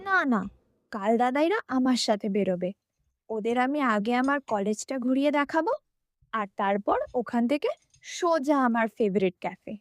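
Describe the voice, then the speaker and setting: A woman's voice: fairly high pitch, lively intonation, normal speed, no room sound, studio quality, close to an everyday voice. An actress playing a headstrong, self-assured young woman in an audio drama, decisive in her delivery. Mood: insistent, playful.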